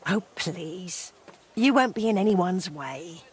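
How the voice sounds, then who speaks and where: A mature, forgiving townswoman in a game drama. Her voice is husky, with lively rises and falls. She is brisk and no-nonsense, yet kindly. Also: fairly low pitch, exaggerated voice. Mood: kindly, matter-of-fact. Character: forgiving, brisk older townswoman, self-described old crone.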